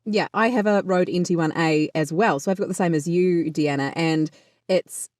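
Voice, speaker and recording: A bubbly woman with a slightly lower voice talks with enthusiasm and slips into laughter often. Her sound is dry and close. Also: normal speed, a little mellow, no echo. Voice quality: a little deeper voice. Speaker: podcast co-host, voice actor. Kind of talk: casual chat.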